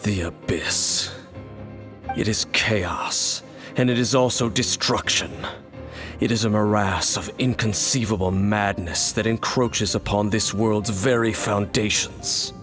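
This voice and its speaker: A grave, mysterious swordsman, his lowish voice solemn and measured. He speaks with quiet authority over faint game music.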